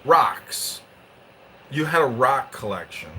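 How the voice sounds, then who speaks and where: A man's voice: medium pitch, very animated, slow, sharp tone, slight background noise, rough recording. Crude, combative male podcast host. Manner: crude, combative, swings between mutters and bursts. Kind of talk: provocative casual chat.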